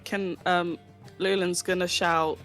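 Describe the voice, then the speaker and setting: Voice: mid-range voice, level tone, takes it slowly, fairly bright tone, faint music behind. Eager, hesitant tabletop player. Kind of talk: playing a tabletop game.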